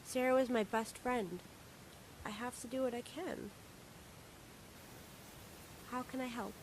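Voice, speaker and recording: A woman's voice: on the high side, fairly even intonation, measured pace, slight background noise, lightly acted, low-quality recording. An actress playing an eager, childlike young woman in a radio drama.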